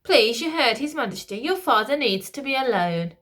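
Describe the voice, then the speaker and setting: A woman's voice: high voice, very animated, normal speed, fairly bright tone, voice pushed up, heavily acted. A smarmy, scheming male courtier from a storybook, read by a woman in her usual voice. He talks in a pompous, falsely soothing way, with a slightly raised tone. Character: smooth scheming male Lord Protector, older courtier.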